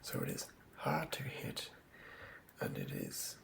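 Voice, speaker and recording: ASMR creator, whispered and gravelly, hesitant unscripted murmuring, with varied intonation in the voiced bits. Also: rumbling low, wildly swooping pitch, slow. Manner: hushed, hesitant, murmuring to self. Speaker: ASMR creator designing a tabletop game monster. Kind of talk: whispered ASMR, unscripted thinking aloud while drawing.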